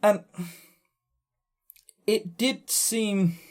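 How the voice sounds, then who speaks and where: A man's voice: high voice, extremely slow. A man co-hosting a podcast, giving casual, opinionated game reviews in a blunt, sweary, scornful way with stammered emphasis. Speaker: podcast co-host.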